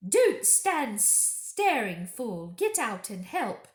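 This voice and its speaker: A woman's voice: big pitch swings, extremely slow, reverberant, heavily acted. A woman voicing a cruel, imperious witch queen in a storybook reading, barking scornful commands. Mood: furious commanding. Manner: commanding, scornful, shrill shouting. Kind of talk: storybook character voice in read-aloud.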